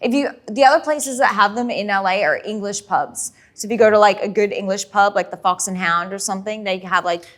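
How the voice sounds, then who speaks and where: An Australian woman chatting as a podcast guest, upbeat and quick with witty comebacks. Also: mid-range voice, a bit bright. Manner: upbeat, quick-witted banter. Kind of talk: casual chat.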